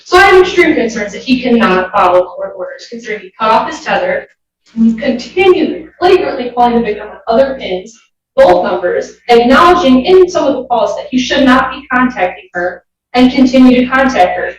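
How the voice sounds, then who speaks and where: A woman's fairly high voice comes from far off in a very echoey courtroom. She argues as a prosecutor, formal, composed and matter-of-fact. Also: sing-song, brisk. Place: indoor courtroom. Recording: very echoey courtroom, distant mic.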